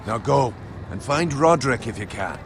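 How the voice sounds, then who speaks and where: An actor playing a composed, trusting noble lord in a medieval fantasy video game, speaking in a measured, confiding way. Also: male voice, mid-range voice, lively intonation, slight background noise, lightly acted. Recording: music behind the voice.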